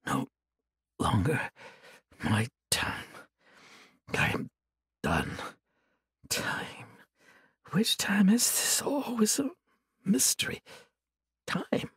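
A woman voicing a broken, exhausted captive monk who speaks in faint, halting whispers. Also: on the low side, big pitch swings, gravelly, husky, no room sound, clean studio sound, pitched above the performer's own voice, exaggerated voice. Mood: despairing.

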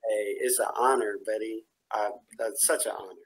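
A man's voice: fairly low pitch, fairly even intonation, moderate pace, poor audio. A young adult man, a comedian guest, chatting casually in an interview over a video call. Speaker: stand-up comedian and producer guest. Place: small room, video call.

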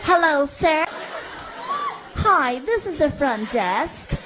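A man's voice: extremely high pitch, big pitch swings, takes it slowly, sharp tone, a faint crowd, voice pushed up, exaggerated voice. Man doing a sweet young hotel clerk lady. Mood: polite. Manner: sweet, customer-service politeness that turns bossy. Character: polite young female hotel front desk clerk. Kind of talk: stand-up comedy storytelling. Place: comedy stage before a live crowd. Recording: live show with audience, noisy.

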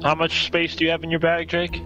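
A man's voice: a little high, monotone, brisk, rough recording. A man talking casually with his teammates while playing a co-op heist game. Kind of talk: casual gameplay chat. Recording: game music behind voice. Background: video game music.

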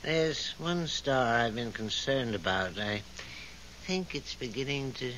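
A man acting in a 1970s radio drama as God, mild, unhurried and modest, musing hesitantly and gently evasive. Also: medium pitch, animated, low-quality recording. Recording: hissy old radio recording.

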